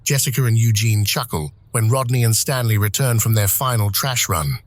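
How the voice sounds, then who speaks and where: A man reading dramatic scripted narration for an audio drama, with measured, deliberate phrasing. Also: lowish voice. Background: music.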